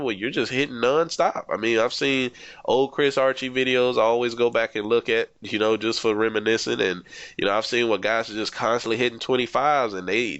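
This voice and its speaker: A man's voice: on the low side, a bit bright, smooth, no room sound, lo-fi sound. A young man with a stuffed-up nose, talking casually over his gameplay as a gaming YouTuber, rambling and swearing freely. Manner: laid-back, rambling, swears freely, says "man" a lot.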